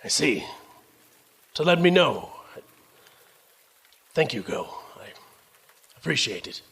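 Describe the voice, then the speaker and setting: A man playing a dutiful young army captain in an audio drama, earnest and measured. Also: mid-range voice, sing-song, vocal fry, a bit bright, breathy edge, heavily acted. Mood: subdued, grave.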